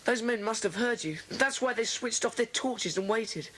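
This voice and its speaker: A woman playing an anxious, well-spoken schoolboy, earnest and polite with a husky voice, in a children's audiobook drama. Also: fairly high pitch, normal speed, a bit bright. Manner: earnest, polite, old-fashioned schoolchild phrasing.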